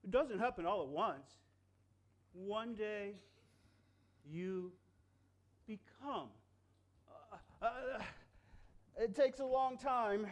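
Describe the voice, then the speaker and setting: A reader playing a wise, kind, very old toy horse in a storybook reading, speaking gently and haltingly with pauses. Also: mid-range voice, close to an everyday voice.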